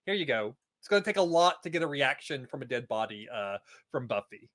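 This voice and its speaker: A man's voice: mid-range voice, very animated, brisk, a bit bright. A man as a podcast guest, giving his opinions in casual, wry, conversational talk.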